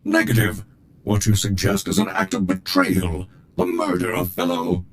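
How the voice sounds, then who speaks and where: An actor voicing a bombastic, zealous military war droid in a video game, with pompous, formal delivery. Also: male voice, low voice, flat delivery, moderate pace, clean tone, lightly acted. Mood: firm, declamatory.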